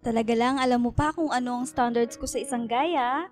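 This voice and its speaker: An actress plays a sassy young single woman in a radio drama, speaking with a Filipino accent. Her voice is high, with a teasing, reluctant manner. Faint music sits behind her. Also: fairly quick, close to an everyday voice. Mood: protesting.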